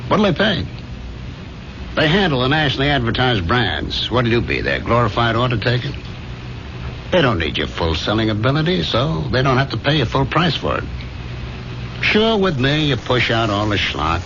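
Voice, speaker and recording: A man's voice: mid-range voice, very animated, moderate pace. An actor playing a brash, domineering businessman in a 1970s radio drama, with glib, slangy, overbearing patter. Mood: lecturing, scornful. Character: brash, fast-talking, domineering businessman boss. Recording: dull, noisy old radio broadcast recording.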